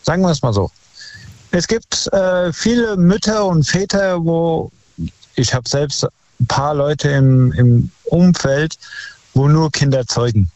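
A dull, dry phone recording of a male caller to a talk show. His voice sits a bit high, and he speaks bluntly and casually, using slang and stating his opinions flatly. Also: slow pace, dry recording. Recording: muffled, dry phone call audio.

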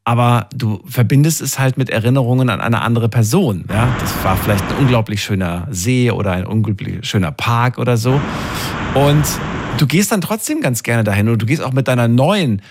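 A man's voice: medium pitch, animated, normal speed, noisy background. Male radio host in his late thirties. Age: late 30s. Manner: engaged, interjecting, probing with questions. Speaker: radio call-in show host. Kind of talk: conversational live radio talk.

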